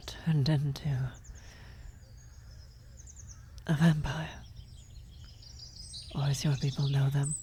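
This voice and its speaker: A woman acts out a worried female vampire in a medieval fantasy ASMR roleplay. Her low voice is soft and mellow, often slipping into a whisper. She speaks haltingly and gently, with faint birdsong and nature ambience behind her. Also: level tone, a little hiss or hum. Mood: hesitant, confessing. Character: worried female vampire blood sorcerer, tender, guilty lover. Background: birdsong, nature ambience effects.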